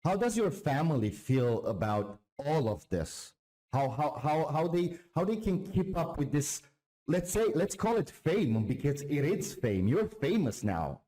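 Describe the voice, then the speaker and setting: A man's voice: fairly high pitch, very animated, measured pace, warm tone, rough recording. A man hosting an interview, asking questions in a chatty, encouraging way and often repeating words as he starts. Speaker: interviewer, channel host.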